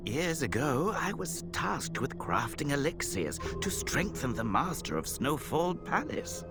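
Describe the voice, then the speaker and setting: A grave, caring healer in a game cutscene. He speaks slowly and formally with a lively, expressive pitch over audible music. His manner is courteous and measured. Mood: grave, apologetic. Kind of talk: dramatic video game cutscene acting.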